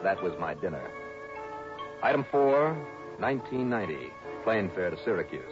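Low-voiced hard-boiled investigator, dry and wry; muffled vintage radio sound. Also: male voice, sing-song, moderate pace, over music. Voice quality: dry, wry, clipped delivery. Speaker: narrator and lead. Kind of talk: 1960s radio drama acting. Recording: dull lo-fi 1960s radio broadcast.

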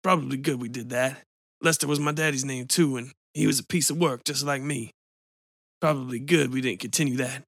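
A man voicing a bitter, weepy man with a smoker's rasp who pleads and breaks into tears. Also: mid-range voice, fairly even intonation, brisk, a bit bright, no room sound, clean studio sound. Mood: tearful.

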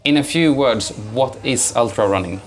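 A man's voice: mid-range voice, moderate pace, faint music behind. A man hosting a podcast, introducing the show and interviewing a guest. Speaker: podcast host.

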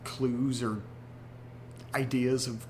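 A man's voice: mid-range voice, flat delivery, drawn-out words, darkish tone, slight background noise. An actor playing an anxious young man who talks hesitantly and rambles, in a horror audio drama. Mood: frightened. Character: anxious young man receiving strange photos.

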